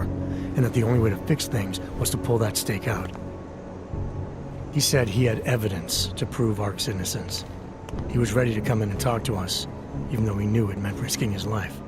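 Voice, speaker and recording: A man's voice: lowish voice, brisk, loud music behind the voice, natural voice. A man playing a grave, terse agent in a video game thriller, with a serious, matter-of-fact delivery. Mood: grave, urgent. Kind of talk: video game cutscene dialogue.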